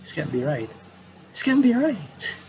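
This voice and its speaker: A man's voice: a little high, big pitch swings, a little slow, pitched above the performer's own voice. A man voicing an anxious, panicky young woman from a horror visual novel. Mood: panicked. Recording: clearly noisy home recording.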